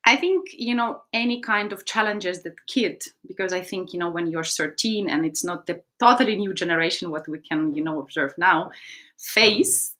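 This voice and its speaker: Woman coach, 30s, lower voice, thoughtful interview telling with many fillers. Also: moderate pace, bright, forceful tone. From Ukraine. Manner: reflective, frequent ums and you-knows. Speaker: artistic swimming coach. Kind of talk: interview storytelling.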